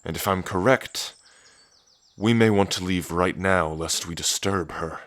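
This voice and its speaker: Guy doing a cocky protective dragon prince, deep voice, teasing and sweary, dry close mic, asmr audio drama. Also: a little slow, a bit bright, no room sound. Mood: alarmed, angry. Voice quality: deep, low. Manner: smug, flirtatious, teasing, sweary.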